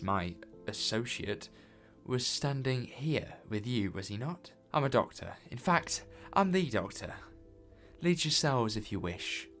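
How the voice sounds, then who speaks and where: Man as eccentric time-traveller sleuth, wry and bossy, mid pitch, faint music. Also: fairly bright tone. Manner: wry, teasing, commanding. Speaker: detective lead. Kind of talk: fan audio drama acting.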